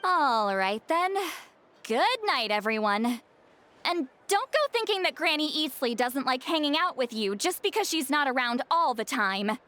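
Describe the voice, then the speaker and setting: A woman playing a friendly village caretaker who gives brisk, helpful directions. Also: high voice, animated, pushed and bright, a little hiss or hum, heavily acted.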